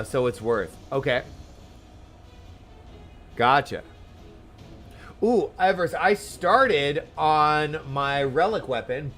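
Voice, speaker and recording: A man's voice: on the high side, fairly bright tone. A man chatting casually while live streaming a game, giving loose commentary as he plays. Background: game music.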